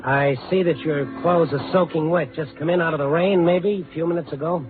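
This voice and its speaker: An American man acts a tough, steady reporter in old-time radio drama. His delivery is dry and clipped, and the sound is narrow and boxy like an old broadcast. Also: on the high side, fairly quick, natural voice. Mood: terse, pressing. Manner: clipped, dry, hard-boiled. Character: tough, steady newspaper reporter working the night beat. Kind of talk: 1950s radio drama narration and dialogue.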